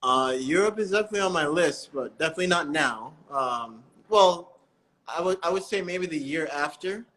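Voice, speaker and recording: A man hosting a breathwork live stream, chatting in a relaxed, friendly way with callers and often correcting himself mid-sentence. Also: fairly high pitch, moderate pace.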